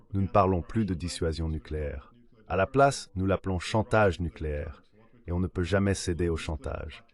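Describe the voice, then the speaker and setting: A man's synthetic AI voice giving a translated political analysis in a measured, formal read-out manner. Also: deep voice, a little mellow. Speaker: translation voice-over of an interview guest.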